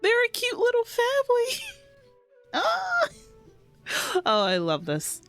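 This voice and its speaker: A woman streamer giving casual, excitable and giggly reaction commentary on a game. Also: very high voice, takes it slowly, soft background music.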